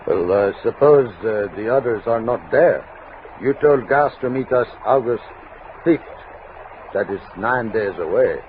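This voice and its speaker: An actor playing a thoughtful, deferential frontier scout with a hesitant, measured delivery. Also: male voice, mid-range voice, expressive, natural voice. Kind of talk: 1960s radio western acting. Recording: tinny vintage 1960s radio recording, faint hiss.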